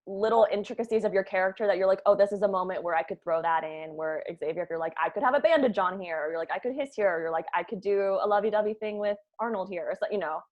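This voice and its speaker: Woman, medium pitch, casual writer-producer, joking asides, slangy, group video call. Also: dull audio. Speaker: writer and producer leading a cast table read. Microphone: video call microphone.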